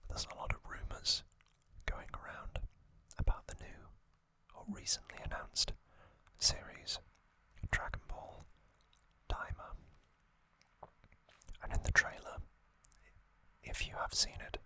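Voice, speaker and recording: Asmr host whispering trivia real slow, all hushed and airy, lots of ums, bright crisp tone. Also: low-quality recording. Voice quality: unvoiced whisper throughout. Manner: slow, hushed, casual musing with frequent ums.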